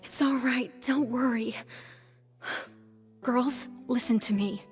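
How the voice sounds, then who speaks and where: A gentle mother, high and unhurried, soothing and tender. It is game drama acting, with long reverb and soft music beneath. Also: fairly even intonation. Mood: tender, consoling. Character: gentle, reassuring mother of two young daughters.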